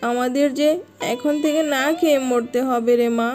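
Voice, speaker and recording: A woman's voice: sits high, steady tone, normal speed, a little mellow, soft background music, close to an everyday voice. A woman voicing a loving, worried mother fox from a poor village in a fairy-tale cartoon.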